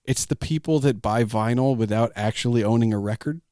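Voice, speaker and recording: A man's voice: mid-range voice, a little fast, mellow, no room sound, clean studio sound. A man hosting a podcast in a casual, informal way, talking emphatically and repeating his phrases. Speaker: podcast host. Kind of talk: casual chat.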